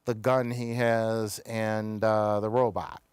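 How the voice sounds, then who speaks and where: Clear, smooth-voiced man reviewing television casually. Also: on the low side, level tone, slow pace, dry recording. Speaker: show host reviewing television episodes.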